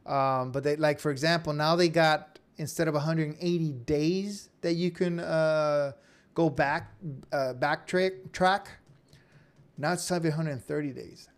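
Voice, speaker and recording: A man's voice: a little high, steady tone, measured pace. A man giving an informal screen-share tutorial as an online instructor, talking conversationally and often checking in with "okay?" or "right?".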